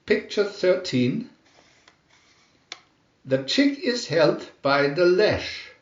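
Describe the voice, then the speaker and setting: Lively high-pitched man reading a children's story. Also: drawn-out words, reverberant, dull audio. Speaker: storybook narrator.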